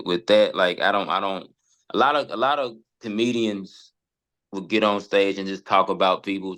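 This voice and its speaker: Gravelly low-voiced man, halting talk. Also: pushed and bright. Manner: halting, repeats words. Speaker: comedian, podcast guest. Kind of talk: casual conversational chat.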